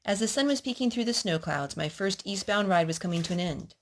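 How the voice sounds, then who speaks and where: A woman's voice: medium pitch, animated, brisk, slight background noise. A woman hosting a children's storytime, reading picture books aloud and asking little prompting questions in a child-directed way.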